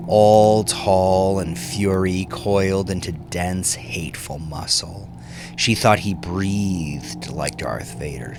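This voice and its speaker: Man, low creaky voice, slow, hushed intense horror storytelling, music underneath. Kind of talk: dramatic horror fiction narration.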